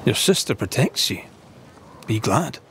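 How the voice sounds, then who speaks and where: An actor playing a confident monster hunter in a game cutscene, earnest and wry. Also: male voice, mid-range voice, sing-song, a little slow, creaky, fairly bright tone, a little hiss or hum, exaggerated voice. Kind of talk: dramatic game cutscene acting.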